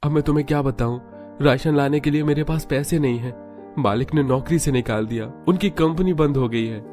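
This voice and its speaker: A man's voice: mid-range voice, fairly quick, darkish tone, faint music behind, close to an everyday voice. A man playing a poor, worried family man in a cartoon story, earnest and pleading. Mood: dejected, pleading.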